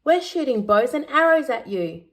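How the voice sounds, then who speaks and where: A woman's voice: sits high, sing-song, moderate pace, voice pushed up. A woman voicing a feisty, playful young terrier in a children's storybook, calling out in a taunting way. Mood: playful challenge.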